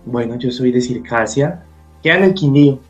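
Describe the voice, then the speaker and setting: Gentle man, acted, soft music behind. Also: mid-range voice, normal speed, lightly acted. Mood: tender. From Colombia. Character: shy, tender Colombian man hosting a companion. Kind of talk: radio drama dialogue.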